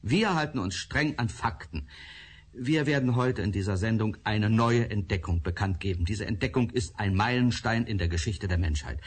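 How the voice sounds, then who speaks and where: A renowned scientist in a radio drama, proud and guarded. His voice sits low and sounds husky. He speaks in a measured, authoritative way with a faintly threatening undertone. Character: eminent older male scientist, proud and guarded. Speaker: professor.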